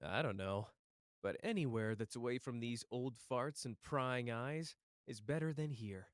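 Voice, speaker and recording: A man's voice: mid-range voice, a little slow, in a large hall, lightly acted. A man playing a cocky, protective young sorcerer and clan head in a roleplay audio drama, taunting and sardonic in casual slang. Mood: subdued, tender. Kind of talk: character roleplay audio drama addressed to the listener.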